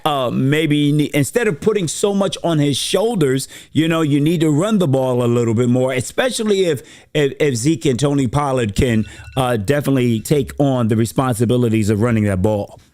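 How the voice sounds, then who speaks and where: A man with a hoarse, strained voice talks football at a middle pitch. He explains plays in a measured, steady way. It sounds like casual co-host chat. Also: dry recording. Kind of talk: casual sports talk.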